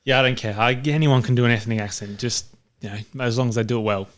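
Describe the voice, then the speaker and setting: A man's voice: mid-range voice, steady tone, quick pace, a bit bright, clean tone. Blunt man in casual podcast banter. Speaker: podcast co-host. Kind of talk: casual film review banter.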